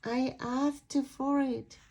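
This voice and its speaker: A woman voicing a small, polite, innocent duckling in a soft, simple storybook manner. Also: high-pitched, steady tone, very slow, a little hiss or hum, heavily acted. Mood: calm, innocent. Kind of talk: picture book read-aloud character.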